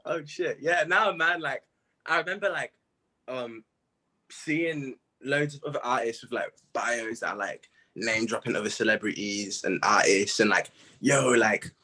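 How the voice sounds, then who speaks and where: A man's voice: medium pitch, unhurried, bright, forceful tone, low-quality recording. A young man from Zimbabwe, chatting casually and laughing often on a video call. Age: young adult. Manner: slangy, laid-back, laughs often. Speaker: musician guest. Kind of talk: casual interview chat.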